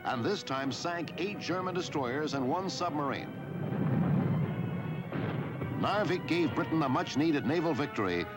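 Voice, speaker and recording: A man reading scripted historical newsreel narration in a measured, formal delivery. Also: fairly high pitch, lively intonation, loud music behind the voice, rough recording. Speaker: documentary narrator.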